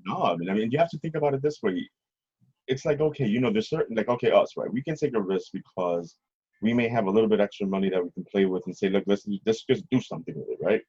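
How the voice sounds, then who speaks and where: A middle-aged man telling stories casually as an interview guest, husky, rambling and often checking in with the listener. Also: fairly low pitch, fast talker, dark tone. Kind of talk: casual conversational storytelling. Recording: slightly dull, lo-fi audio.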